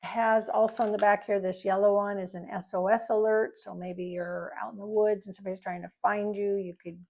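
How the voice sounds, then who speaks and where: Creaky-voiced woman casually demonstrating a product. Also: mid-range voice, little pitch movement, fairly quick, mellow, lo-fi sound. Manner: informal, rambling, explanatory. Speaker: product reviewer.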